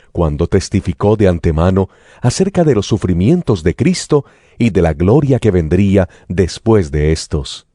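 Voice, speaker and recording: A man narrating a dramatized Bible reading in a solemn, measured declamation. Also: sits low, warm tone, no echo, studio quality. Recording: music bed under the voice.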